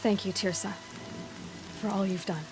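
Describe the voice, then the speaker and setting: A woman's voice: medium pitch, fairly even intonation, moderate pace, lightly acted. Young woman playing curious outcast huntress in a game, straight questions, music underneath. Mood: earnest. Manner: direct and inquisitive. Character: young female outcast hunter, curious and determined. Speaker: game protagonist. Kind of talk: video game cutscene voice acting.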